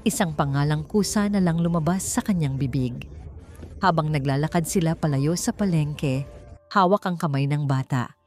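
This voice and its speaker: Woman, storyteller, scripted dramatic narration, low mellow voice, music behind. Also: normal speed.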